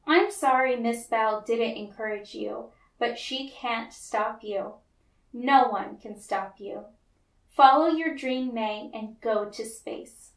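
A woman voicing a warm, reassuring, encouraging mother in a children's storybook. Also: fairly high pitch, slow. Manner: reassuring, emphatic. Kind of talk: children's storybook read-aloud. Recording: roomy, echoey, distant mic.

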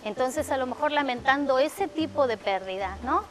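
Middle-aged woman, high voice. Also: lively intonation, normal speed. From Argentina (Mendoza). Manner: reflective, storytelling, frequent fillers. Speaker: guest, salon owner. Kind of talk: television interview. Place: TV studio living-room set.

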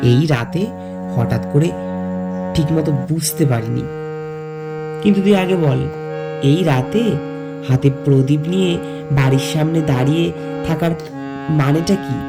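A man narrating a scripted first-person story in measured phrases. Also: fairly high pitch, loud music behind the voice. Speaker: narrator and lead character.

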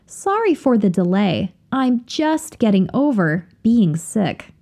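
A woman reading example sentences slowly and carefully, repeating each phrase for learners to copy. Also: medium pitch, warm tone. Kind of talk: slow, clear drill reading of example phrases.

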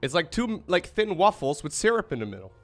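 A man's voice: a little high, brisk, faint music behind. A young man whose first language is Dutch, hosting a casual livestream chat from a studio mic in a small room at home. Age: young adult. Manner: lively, laughs often. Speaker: streamer host. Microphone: studio mic with headphones.

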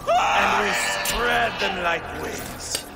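An actor playing a cruel, gloating male Viking warlord in a video game, with a gravelly, sneering voice. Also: high-pitched, drawn-out words, shouting, loud music behind the voice, full character voice. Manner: savage, gloating, theatrical. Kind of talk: video game cutscene acting.

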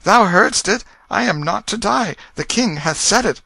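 A man's voice: on the high side, expressive, a little slow, sharp tone, dry recording, pitched above the performer's own voice, full character voice. A man voicing a humble, earnest young pauper boy in an audiobook, meek and pleading in archaic speech. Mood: overjoyed relief. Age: child figure. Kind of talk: audiobook character voice.

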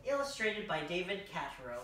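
A young adult hosts a children's story time, reading aloud in a bright voice. The recording is distant and very echoey, with faint noise behind it. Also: mid-range voice, big pitch swings, fairly quick. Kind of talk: read-aloud children's storytelling in costume as a king. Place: large furnished room indoors. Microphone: distant camera microphone.

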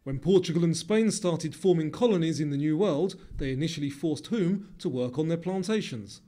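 A man reading scripted quiz questions and answers in a measured way, as the narrator of a trivia video. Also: fairly high pitch, dark tone.

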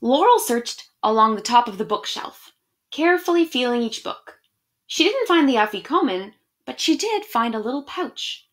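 A woman's voice: a little high, big pitch swings, moderate pace. A woman, reading and chatting expressively to young listeners as for an online storytime. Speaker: education director reading picture books online to children. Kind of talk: children's storybook read-aloud and friendly chat to viewers. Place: small room at home, indoors.

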